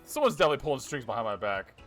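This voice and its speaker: A gaming streamer reacting live to a story, excitable and quick to swear. The voice leaps wildly in pitch. Faint game music sits behind it.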